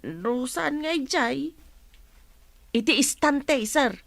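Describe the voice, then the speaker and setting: A woman's voice: high voice, animated, takes it slowly, creaky, a bit bright, no room sound, heavily acted. A woman playing a deferential, flustered household maid in an Ilocano radio comedy-drama.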